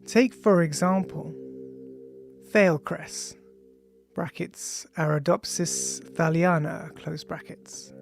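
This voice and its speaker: Measured audiobook reader over music. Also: mid-range voice, vocal fry. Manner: measured, reads punctuation aloud. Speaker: author reading own audiobook. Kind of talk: audiobook reading of a scientific text. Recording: music behind the voice. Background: ambient music with bell-like tones.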